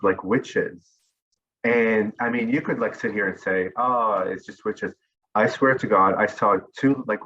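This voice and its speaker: A man's voice: on the low side, fairly bright tone, highs cut off. Man telling rambling stories. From Lebanon. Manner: rambling, many fillers and restarts. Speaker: interview guest. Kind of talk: casual storytelling.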